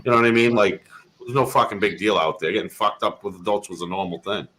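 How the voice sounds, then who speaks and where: A man in his thirties from Massachusetts, swapping stories in a relaxed chat. He swears constantly and acts out each quote with gusto, and his voice sounds bright and cutting. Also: fairly low pitch, fast. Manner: profane, animated storyteller acting out quotes. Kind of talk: casual chat, storytelling.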